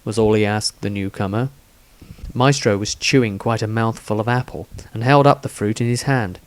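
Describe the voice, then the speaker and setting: Low-voiced man narrating fantasy. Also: moderate pace. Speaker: author narrator. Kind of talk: scripted fantasy story narration.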